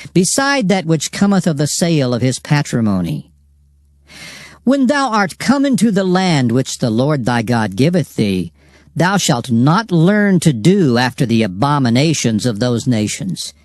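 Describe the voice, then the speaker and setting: A man's voice: on the high side, darkish tone, dry recording. A man acting as a grave, commanding narrator in a dramatized King James scripture reading, solemn and declamatory. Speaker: Bible narrator.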